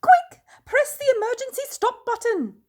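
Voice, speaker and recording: Woman putting on a high, urgent airport girl. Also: big pitch swings, a little slow, darkish tone, exaggerated voice. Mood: urgent alarm. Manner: urgent, commanding calls. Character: bossy, alarmed girl airport attendant.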